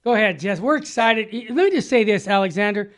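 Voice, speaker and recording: A man's voice: high voice, animated, fast talker. An older man co-hosting a radio talk show, upbeat and emphatic, delivering punchy slogans and promos.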